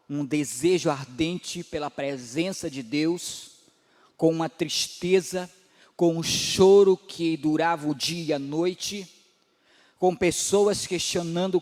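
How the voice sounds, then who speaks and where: A man in his forties preaching a sermon as pastor, building to emphatic rises and dropping low again. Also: darkish tone. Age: 40s. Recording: long hall reverb.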